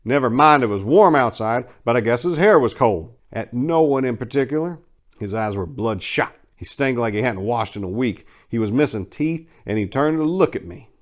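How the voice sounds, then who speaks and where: A man giving a dramatic first-person reading of a short story in a wry, sardonic and expressive manner. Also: mid-range voice, normal speed. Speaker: story narrator.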